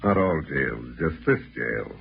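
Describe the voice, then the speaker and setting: An actor playing a tough, cool-headed American detective, terse and dry, in a 1940s radio drama. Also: male voice, rumbling low, animated, measured pace, vocal fry, full character voice. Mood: calm. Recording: dull, noisy old radio recording.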